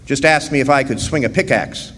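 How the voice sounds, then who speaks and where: A man plays a wandering copper miner telling his story in a radio play. He is folksy and plainspoken, with a mid-pitched voice. The sound is a live hall, with long reverb and some background noise. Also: brisk, a bit bright, lightly acted. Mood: wry, steady. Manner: folksy, plainspoken, drawling dropped grammar. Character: young drifter miner from 1917, plainspoken and folksy. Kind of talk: first-person radio drama narration and dialogue. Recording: roomy live hall stage, background hiss.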